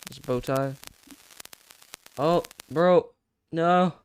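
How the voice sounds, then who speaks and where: A man's voice: on the high side, drawn-out words, clear, resonant tone, poor audio. A man reading a horror story aloud in a casual, stumbling way, breaking off to react. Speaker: video creator reacting and narrating.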